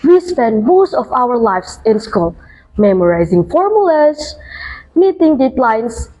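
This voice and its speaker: Girl co-hosting a school podcast, higher voice, friendly and encouraging. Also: very animated, slow, warm tone, poor audio. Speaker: podcast co-host.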